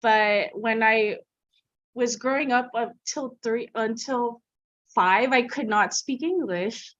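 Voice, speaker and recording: A woman's voice: fairly high pitch, takes it slowly, fairly bright tone, highs cut off. A woman with an American accent from California, chatting casually as an interviewer over a video call and laughing often. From California, USA.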